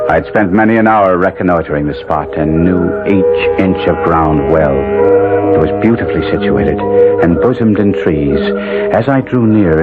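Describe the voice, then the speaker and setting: Man playing cursed nobleman in a monstrous body, low voice, florid theatrical narration, anguished, vintage radio drama, thin phone-like sound. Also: lightly acted. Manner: theatrical, florid, deliberate storytelling.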